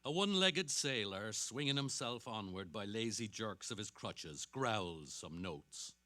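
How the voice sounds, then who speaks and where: A man giving expressive, theatrical narration of a novel in a staged reading. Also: on the low side. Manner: dramatic, expressive.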